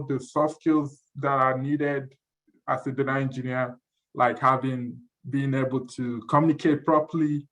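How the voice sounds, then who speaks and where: Nigerian man giving a halting tech talk. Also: mid-range voice, lo-fi sound. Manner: relaxed, halting with repeated words. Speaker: presenter. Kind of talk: info session talk.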